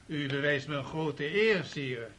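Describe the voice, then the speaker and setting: A bootlicking courtier in an old-time war radio drama, sharp bright voice, bit high, fawning. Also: male voice, a little slow, slightly rough. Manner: fawning, hesitant. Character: obsequious, flattering courtier to an emperor. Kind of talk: Dutch radio play acting.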